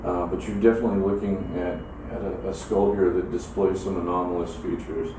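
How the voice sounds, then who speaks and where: Deep-voiced man in a very echoey room. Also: flat delivery. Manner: halting, measured, technical. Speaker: academic expert giving an opinion. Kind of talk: unscripted expert explanation. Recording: echoey room, distant mic, clear noise.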